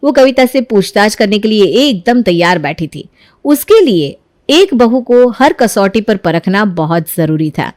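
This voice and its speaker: A woman's voice: mid-range voice, animated, unhurried, darkish tone, dry recording, studio quality. A woman narrating a scripted moral story as its storyteller.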